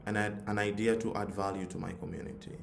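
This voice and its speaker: Tanzanian guy doing a motivational youth talk, low gravelly voice, roomy echo and a bit of noise. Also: little pitch movement, fast talker. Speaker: youth nonprofit leader, forum speaker. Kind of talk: motivational talk with personal story.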